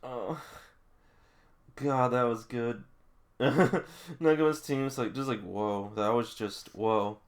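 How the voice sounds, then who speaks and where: A man's voice: mid-range voice, takes it slowly, pauses often. A man doing a read-along with casual, emotional running reactions, often exclaiming like an absorbed fan. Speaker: reader reacting to a manga.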